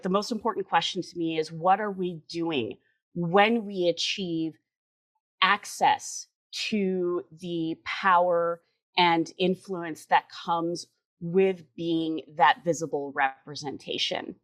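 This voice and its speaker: A woman's voice: on the low side, fairly even intonation, slow. A woman in her forties from the Chicago suburbs, giving an earnest, articulate interview answer with emphatic repetitions. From Chicago suburbs, US Midwest. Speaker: interview guest, writer and editor.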